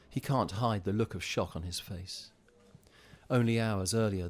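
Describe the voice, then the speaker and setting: A man's voice: deep voice, a little hiss or hum, close to an everyday voice. A man acting as the grave narrator of a scripted audio drama. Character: grave storyteller of a virus outbreak drama.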